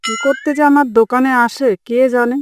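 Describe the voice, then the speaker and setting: A woman's voice: high-pitched, fairly even intonation, fairly quick, close to an everyday voice. A woman voicing a cheeky, exasperated market fish seller who teases in a comedy cartoon. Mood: teasing, exasperated.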